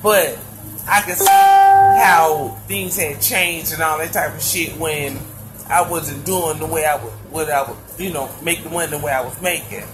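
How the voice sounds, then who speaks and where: Deep-voiced woman, profane blunt phone-stream monologue, music under it. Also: unhurried, rough recording. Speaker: live streamer telling her story. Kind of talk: casual monologue to viewers. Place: lying in bed indoors. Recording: played from her live stream.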